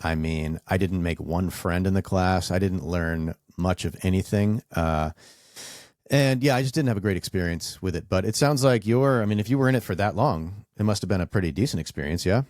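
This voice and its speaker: A man in his forties with a very low, deep voice, telling stories in a loose, rambling way as he hosts a podcast conversation. The sound is close and dry, with no room around it. He leans on fillers and trails off between thoughts. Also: brisk, no room sound. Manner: relaxed, rambling storyteller with many fillers. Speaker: podcast host. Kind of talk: casual interview chat.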